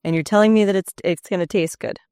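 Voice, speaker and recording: A woman hosting a podcast interview, chatty and jokey with quick reactions. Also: lowish voice, warm tone, clear, resonant tone, no echo, clean studio sound. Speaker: podcast host.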